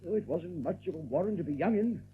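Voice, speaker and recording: An actor playing a nervous rabbit captain in an audio drama, stammering and evasive, as if hiding something. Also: male voice, high voice, expressive, fast, faint music behind. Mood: uneasy, sombre. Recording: muffled lo-fi tape with background noise.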